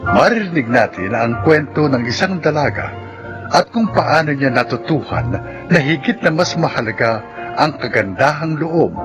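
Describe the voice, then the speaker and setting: A man with a Filipino accent hosting and narrating a radio drama in a formal, dramatic announcer style. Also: mid-range voice. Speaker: drama narrator and program host. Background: music.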